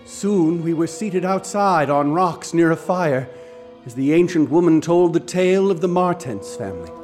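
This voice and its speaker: An actor playing an earnest, educated investigator who narrates in a formal manner. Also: male voice, fairly high pitch, animated, normal speed, a little mellow, soft background music, lightly acted. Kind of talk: radio drama narration and dialogue.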